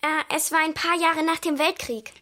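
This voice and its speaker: High-voiced eager young girl. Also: level tone, moderate pace, sharp tone, no room sound. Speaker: child narrator and lead in radio play. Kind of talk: children's radio play acting and narration.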